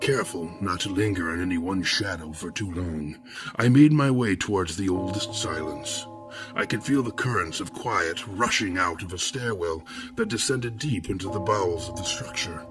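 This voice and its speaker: A man with a very low voice narrates a horror audio drama. He tells the story in a measured, ornate manner. Clear music runs beneath his narration.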